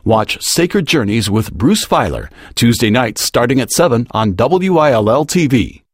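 A man's voice: medium pitch, big pitch swings, a little slow, breathy edge, dry recording, clean studio sound. A man with an American accent reading a scripted promo as a station announcer.

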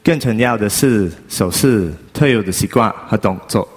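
A man with a husky voice reciting a memorized passage on stage. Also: lowish voice, normal speed. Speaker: performance artist on stage.

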